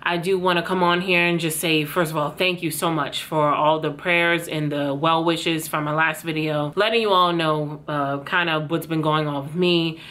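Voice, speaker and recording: A woman hosting a casual commentary vlog, chatty and talking straight to her viewers, her voice slightly hoarse from being under the weather. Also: low-pitched, fairly even intonation, moderate pace, few pauses, fairly bright tone. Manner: chatty, addresses viewers as girl. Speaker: YouTube commentary host.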